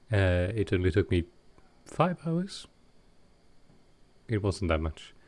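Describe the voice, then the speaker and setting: A man playing a gentle, awkward male vampire in an intimate comfort roleplay, speaking tenderly and haltingly. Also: lowish voice. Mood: shy, happy. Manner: halting, hesitant, tender, soft-spoken.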